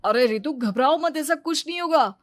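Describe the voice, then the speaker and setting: A woman's voice: high-pitched, lively intonation, moderate pace, dry recording. A woman voicing an anxious, attentive young husband in a cartoon story, earnest and placating in manner. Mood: anxious reassurance. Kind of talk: Hindi cartoon story dub.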